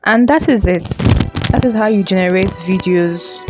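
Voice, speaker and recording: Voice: mid-range voice, moderate pace. Tutorial host, mid-range voice, informal step-by-step explaining, rephrases often, music playing behind.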